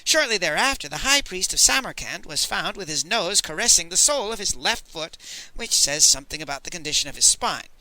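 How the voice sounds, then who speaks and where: A man's voice: sits high, sing-song, brisk, bright, forceful tone, dry recording, exaggerated voice, poor audio. A man voicing a cheeky, flamboyant young male courtier who tells gleeful, theatrical gossip. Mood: gossipy. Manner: chirpy, gleeful, theatrical storytelling.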